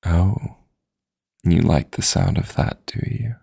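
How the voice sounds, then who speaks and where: A man playing a seductive, possessive yet tender dream demon lover in an intimate audio roleplay, murmuring flirtatious endearments in a husky, breathy voice close to the mic. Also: slow, dark tone, dry recording, full character voice. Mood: seductive, adoring. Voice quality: gravelly, husky, breathy low voice. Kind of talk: intimate romantic sleep-aid audio roleplay. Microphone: close, dry studio-style microphone.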